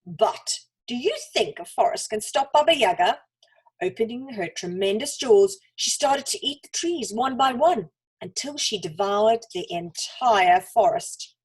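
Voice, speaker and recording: A woman narrates a children's story in a fairly high, slightly breathy, husky voice. Her pitch swoops widely, and she leans on words with dramatic pauses and emphasis. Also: lively intonation, rough recording.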